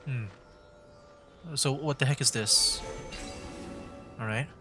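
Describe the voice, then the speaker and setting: A man streaming a video game with casual commentary, thinking aloud in hesitant, halting bursts. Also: medium pitch, fairly even intonation, sharp tone, faint music behind.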